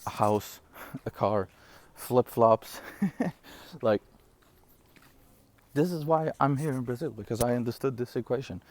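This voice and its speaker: A man in his twenties from Syria, for whom English is not his first language, giving an energetic, rambling business pitch straight to camera with frequent fillers and laughs. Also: slow, no room sound. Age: 20s. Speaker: online business coach. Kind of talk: casual direct-to-camera talk.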